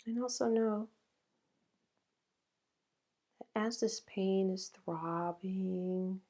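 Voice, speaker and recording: A woman leading a guided meditation in a gentle, halting way, with long pauses between phrases. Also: mid-range voice.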